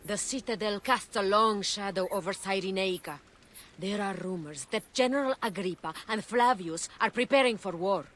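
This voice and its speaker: An actress playing a compassionate healer in a video game, speaking gravely and earnestly. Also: female voice, mid-range voice, normal speed, fairly bright tone, faint music behind, natural voice. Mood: grave warning. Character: compassionate, earnest woman healer, troubled by war. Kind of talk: dramatic video game acting.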